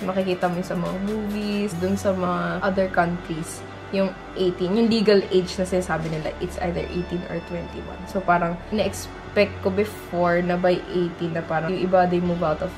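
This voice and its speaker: A woman's voice: lowish voice, fairly even intonation, fairly quick, rough recording. A woman with a Filipino accent talking casually as a vlog host. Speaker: vlogger, host. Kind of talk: casual vlog talk mixing two languages. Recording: music behind the voice.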